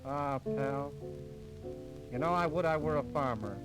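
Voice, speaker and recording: A man's voice: a little high, measured pace, low-quality recording. An American man doing 1930s radio comedy patter and mock recitation in a folksy, mock-formal showman's manner. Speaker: comedian in a singing comedy duo. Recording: old 1930s radio transcription disc, music behind.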